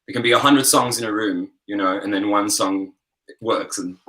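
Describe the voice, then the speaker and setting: Deep-voiced man, rambling. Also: normal speed, low-quality recording. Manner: relaxed, rambling, frequent fillers and laughs. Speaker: singer-songwriter guest. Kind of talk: casual interview chat.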